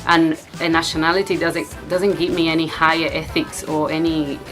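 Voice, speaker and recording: A woman's voice: low-pitched, little pitch movement, moderate pace, over music, low-quality recording. A woman with an Argentinian accent, talking casually and personally.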